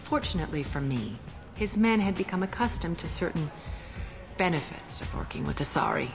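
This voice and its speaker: A cold, cynical alien crime queen speaks slowly and with calm menace. Her voice sits a little low. Game score plays underneath. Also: gravelly. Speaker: ruler of the station. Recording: game cutscene with music behind.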